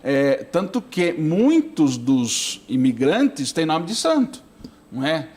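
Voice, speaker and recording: Slightly high-voiced Brazilian man, conversational. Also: sing-song, takes it slowly, a little mellow, husky. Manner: often ends statements with checking tag questions. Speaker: priest, interview guest. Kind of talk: conversational explanation.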